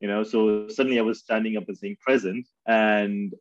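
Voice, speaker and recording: A man from Pakistan, in his thirties, talking through his memories as an interview guest, thoughtful and halting with many fillers and restarts. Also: lowish voice, flat delivery, no room sound, lo-fi sound. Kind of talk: reflective conversational storytelling.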